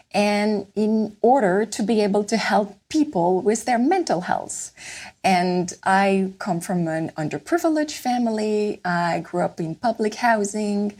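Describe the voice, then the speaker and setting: A middle-aged woman from France speaks English with a French accent. Her voice is husky with a gravelly edge and a mid pitch. She explains things earnestly, the way a guest does on a podcast. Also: darkish tone. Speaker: guest neuroscientist. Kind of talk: podcast interview.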